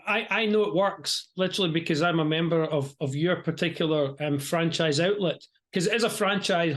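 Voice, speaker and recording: A man's voice: fairly high pitch, a bit bright. A European-born man hosting a casual interview over a video call, chatty, often restarting and repeating his words. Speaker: interview host.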